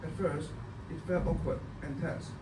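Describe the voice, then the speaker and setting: A young man with a slightly raised voice delivers a prepared student presentation in a careful, halting way, restarting words now and then. He sounds far from the mic in an echoey room. Loud background noise runs under him. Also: fairly high pitch, fairly even intonation. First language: Cantonese. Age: young adult.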